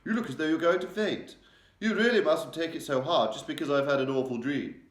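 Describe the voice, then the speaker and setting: A man's voice: fairly high pitch, a little fast, voice pushed up, natural voice. A man voicing an elderly queen. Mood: alarmed, regal. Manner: refined, upper-class, exclaiming. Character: elderly queen, regal, shaken by a nightmare. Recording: roomy echo.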